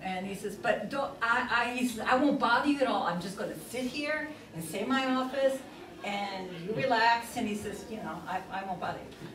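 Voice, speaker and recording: A woman's voice: on the high side, moderate pace, a bit bright. An older woman, a nun, telling a long story to a class. She keeps stopping to say "he says" as she recounts the dialogue. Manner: rambling, repeats "he says" while retelling dialogue. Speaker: religious teacher, nun. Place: plain meeting room with tables. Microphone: far camera across the room. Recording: echoey room, far-off mic, listeners audible.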